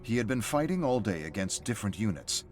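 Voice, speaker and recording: A man's voice: lowish voice, very animated, fast talker. A man reading scripted story narration as the narrator of a game's lore. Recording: faint music under the narration. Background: music.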